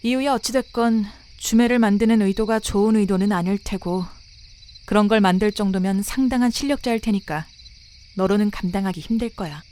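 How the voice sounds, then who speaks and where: A woman's voice: mid-range voice, a little fast, dark tone, natural voice. A woman voicing a blunt, world-weary senior exorcist in a visual novel drama, explaining things in a casual, matter-of-fact way. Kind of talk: dramatic character dialogue, explanatory. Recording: noisy bed of rain and night insects.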